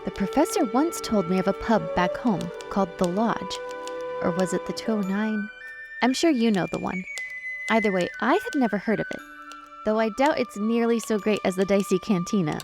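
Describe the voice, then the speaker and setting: A woman's voice: mid-range voice, expressive, moderate pace. A woman reading a scripted story recap as narrator. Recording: clear music behind.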